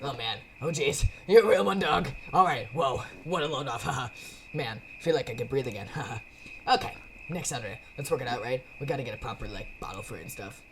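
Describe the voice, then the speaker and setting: A woman voicing a laid-back, slangy cat schemer who rambles eagerly in halting bursts, as in a game. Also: a bass voice, expressive, a little hiss or hum, pitched below the performer's own voice. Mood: insistent, relieved. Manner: halting, stoner-like slang delivery.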